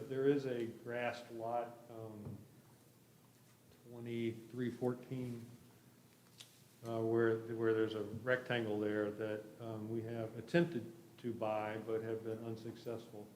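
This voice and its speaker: A man speaks in a low, fairly even voice, explaining plans informally and tentatively. The sound is roomy and echoey, with faint noise behind him. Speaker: project applicant presenting a development plan. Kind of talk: informal explanation at a public meeting.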